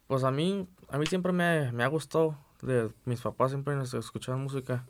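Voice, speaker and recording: A man's voice: mid-range voice, moderate pace, a little hiss or hum. A man. He is a musician giving casual, conversational answers as a guest. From United States. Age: early 20s. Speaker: accordion player, interview guest. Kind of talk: casual chat.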